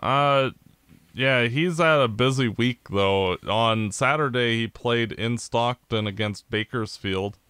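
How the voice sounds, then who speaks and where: Canadian male podcast co-host, unhurried analyst, smooth and clear, close dry mic. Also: medium pitch, fairly bright tone, no room sound. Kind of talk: casual sports chat.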